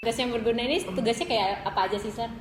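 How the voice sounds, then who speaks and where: A woman's voice: fairly high pitch, very fast. A young adult woman from Indonesia working as a student radio interviewer, asking questions in a casual, chatty way. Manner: informal, chatty. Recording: echoey room with clear background noise.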